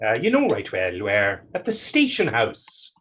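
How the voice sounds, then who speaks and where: A man's voice: fairly low pitch, wildly swooping pitch, a little slow, a bit bright, breathy edge, exaggerated voice. An actor playing a defiant, superstitious young man, goading and indignant in folksy dialect in a stage reading. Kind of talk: stage readers theater acting.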